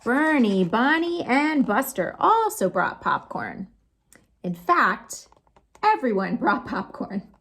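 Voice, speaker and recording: A woman storytime host reading picture books aloud to children, expressive and sing-song, with chatty asides to her young listeners. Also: fairly high pitch, slow pace, slightly rough. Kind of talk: animated picture-book read-aloud for children.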